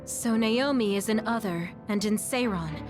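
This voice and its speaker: A woman's voice: medium pitch, a little slow, over music, lightly acted. An actress playing a composed, friendly woman squad member in a video game. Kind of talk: English video game voice acting.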